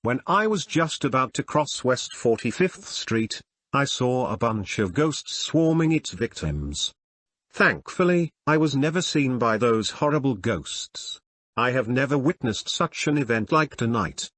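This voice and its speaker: A man's voice: mid-range voice, big pitch swings, a little slow, a bit bright, no room sound. A man voicing an earnest, ordinary eyewitness giving a stilted, scripted account in a news interview. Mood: earnest, shaken. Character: ordinary male New York eyewitness, earnest, recounting frights.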